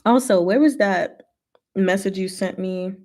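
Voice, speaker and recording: A woman's voice: mid-range voice, measured pace, a little mellow. A woman hosting a casual livestream, playful and informal, chatting and reading stories aloud. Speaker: host of a livestream.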